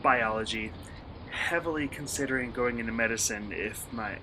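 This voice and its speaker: A man playing an eager, polite college student and hobby vlogger in a radio play, excitable and earnest. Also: medium pitch, normal speed, background noise, lightly acted. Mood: sheepish, polite. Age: young adult.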